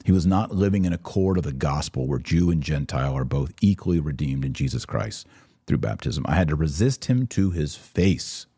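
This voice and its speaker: A man's voice: very deep voice, lively intonation, moderate pace, warm tone, husky, no echo, clean studio sound. A man hosting a religious commentary podcast, speaking in an emphatic, instructive yet conversational way. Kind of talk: live religious commentary, answering listener questions.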